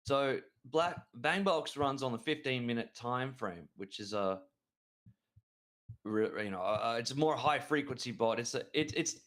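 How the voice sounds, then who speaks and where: A man co-hosting a trading show, talking excitedly and rambling through chart analysis with frequent restarts. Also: medium pitch, unhurried. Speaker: crypto trading show co-host. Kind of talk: enthusiastic market analysis chat.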